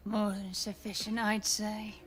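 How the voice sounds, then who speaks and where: A woman's voice: mid-range voice, fairly bright tone, husky, heavily acted. A woman playing a flirtatious, saucy brothel worker.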